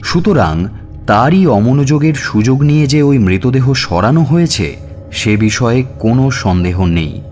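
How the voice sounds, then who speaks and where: A man narrating a scripted suspense story in a measured, expressive, dramatic way. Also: medium pitch, a little hiss or hum. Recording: music bed under narration.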